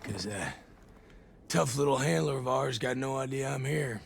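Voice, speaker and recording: An actor playing a menacing, sardonic covert operative in a game, an American who talks with blunt swagger. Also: male voice, mid-range voice, moderate pace, vocal fry, slight background noise, natural voice. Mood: smug menace. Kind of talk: game cutscene dialogue.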